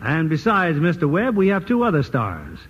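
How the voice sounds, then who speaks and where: Polished 1940s radio host. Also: male voice, on the high side, huge pitch swings, normal speed. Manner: polished announcer delivery. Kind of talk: scripted radio hosting and sponsor message. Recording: muffled old radio broadcast with faint hiss.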